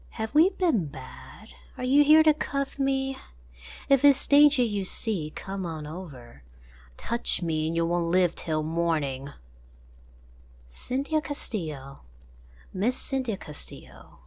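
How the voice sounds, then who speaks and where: A dangerous femme fatale from a noir radio play. Her breathy voice is slow and swings widely, teasing and seductive, with a very dry sound. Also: on the low side, big pitch swings, a little mellow, no room sound. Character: sultry, flirtatious, dangerous femme fatale woman. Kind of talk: noir radio drama acting.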